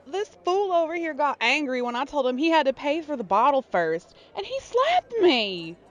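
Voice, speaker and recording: An indignant young saloon girl in a radio western, acted by a woman. Her voice is high and very animated. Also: moderate pace. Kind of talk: western radio play acting.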